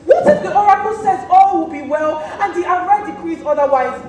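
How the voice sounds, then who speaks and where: A woman's voice: extremely high pitch, a little fast, a little mellow. A young Nigerian woman acting a stern, accusing woman of authority on a theatre stage. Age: young adult. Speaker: student stage actor. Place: theatre stage, recorded from afar. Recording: distant, echoey theatre with noise.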